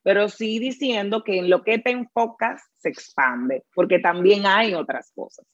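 A woman hosts a relaxed interview, thinking aloud, quoting others and dropping in quick reactions. The sound is dry and a little dull, recorded close with no room. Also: medium pitch, no echo. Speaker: podcast host. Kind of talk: conversational interview.

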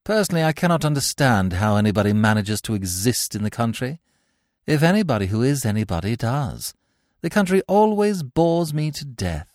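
A man's voice: mid-range voice, very animated, normal speed, a little mellow, no echo, clean studio sound, voice pushed up, natural voice. A man voicing a haughty young society lady from the city, affectedly polite and satirical. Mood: disdainful.

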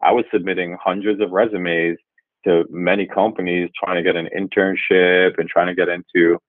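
A man in his forties from Jamaica, a guest speaking in a measured, thoughtful way and often pausing to rephrase. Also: sits low, little pitch movement, dry recording. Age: 40s. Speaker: guest, revenue executive. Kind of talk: reflective interview answers. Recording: tinny phone-like line, dry.

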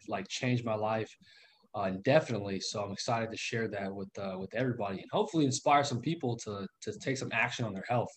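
A man's voice: medium pitch, slight background noise. A man in his twenties, a guest in a casual interview, earnest and loose with fillers. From Southern California. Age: 20s. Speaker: guest, real estate investor.

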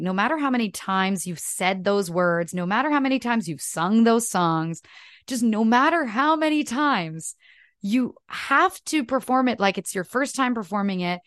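A woman's voice: medium pitch, fairly bright tone, dry recording, clean studio sound. A woman in her thirties from Canada whose first language is French, chatting in a casual interview and telling stories with many "like" fillers. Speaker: actress, podcast guest.

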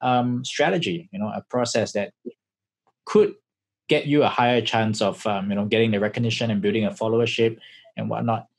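A man's voice: fairly low pitch, fairly quick. A man hosting a casual interview over a video call, encouraging and filling with frequent backchannels. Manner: encouraging, many backchannels and fillers. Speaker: host.

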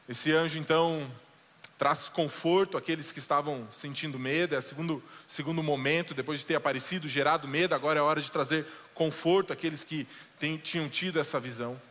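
A man preaching a sermon as pastor, slowing down and dropping low for dramatic quoted lines. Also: a bit bright, big-room sound. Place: church sanctuary.

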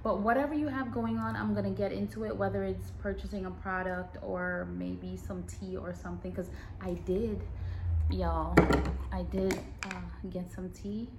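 A woman podcast host from Guyana speaks in an emphatic, animated way. She chats casually and punches her points as she tells a story. Her voice sits at a middle pitch. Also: normal speed, very noisy.

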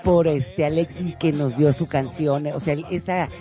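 A man's voice: a little high, steady tone, unhurried, mellow, faint music behind. A man with a Mexican accent speaking casually as a co-host on a radio show. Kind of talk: casual radio sign-off with thanks.

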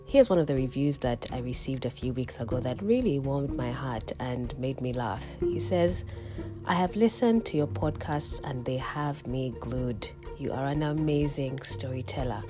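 Very low-voiced Ugandan woman storytelling. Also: lively intonation, over music. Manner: warm reflective storytelling, quick chuckles. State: slightly croaky from a cold. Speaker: podcast host. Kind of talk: personal storytelling podcast.

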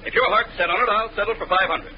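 An actor playing a brisk, testy small-town businessman with a clipped manner, in 1940s radio comedy style. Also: high-pitched, close to an everyday voice. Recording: muffled, noisy old radio recording.

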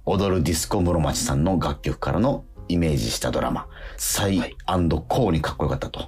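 A man's voice: a bass voice, a little fast, darkish tone. A man hosting a radio show in casual chat, effusive and full of interjections. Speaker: radio host. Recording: music playing behind the voice. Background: music bed.

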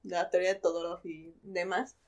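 A woman co-hosting a casual chat about literature, informal, expressive and often questioning. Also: fairly low pitch, brisk, highs cut off. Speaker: podcast co-host.

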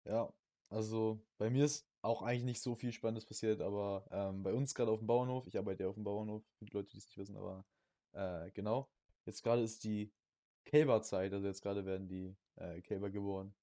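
A young man with a fairly low, relaxed voice co-hosts a casual podcast. He talks in informal slang and often pauses to find his words. The sound is dry, with very little room in it. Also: no echo. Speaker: podcast co-host. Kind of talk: casual chat.

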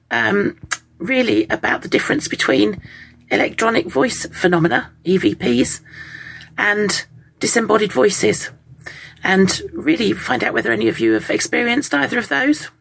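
A woman talks through her subject in a steady, explaining way, her voice worn croaky by a summer cold. There's a heavy gravelly fry in it and a husky edge. Her pitch still moves about freely as she speaks. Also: moderate pace, poor audio. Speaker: podcast co-host. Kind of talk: casual explanatory talk.

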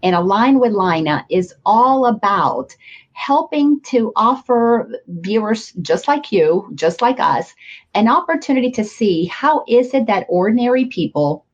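A woman hosting a spiritual interview show over a video call, speaking slowly and earnestly with gentle enthusiasm. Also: fairly high pitch, expressive, dull audio. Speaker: host.

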